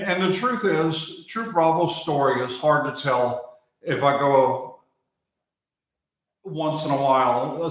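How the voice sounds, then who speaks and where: A man from Germany with an American accent, hosting a live stream on his wildlife channel and talking unhurriedly with many pauses while he reads viewer chat. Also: on the high side, fairly bright tone. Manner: unhurried, pausing with fillers, answering chat between thoughts. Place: outdoors or open area while working on a bike. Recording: very echoey space.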